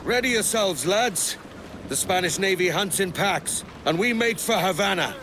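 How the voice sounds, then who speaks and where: Brash roguish privateer, noisy outdoors. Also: male voice, high voice, lively intonation, normal speed. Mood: commanding. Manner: breezy, confident, colloquial. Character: brash, roguish young privateer sailor posing as another. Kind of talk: dramatic video game acting.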